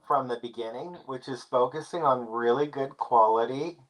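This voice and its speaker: A man speaking as a guest expert in a casual interview, explaining things in a relaxed way with many fillers. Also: medium pitch, moderate pace, poor audio.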